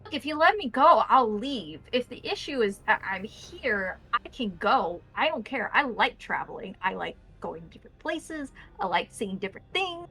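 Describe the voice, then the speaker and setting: A woman's voice: fairly high pitch, sing-song, moderate pace, hardly any pauses, bright, forceful tone, slightly rough, heavily acted, poor audio. A woman playing a captured female vampire drifter in a tabletop game, wary and frustrated, rambling as she bargains. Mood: defensive, pleading. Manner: rambling, mouthy, pleading.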